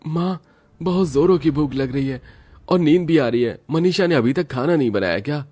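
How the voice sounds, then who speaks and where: Man voicing a high-pitched whiny little boy. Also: normal speed, warm tone, lightly acted. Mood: hungry, whining. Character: hungry, sleepy young boy whining to his mother.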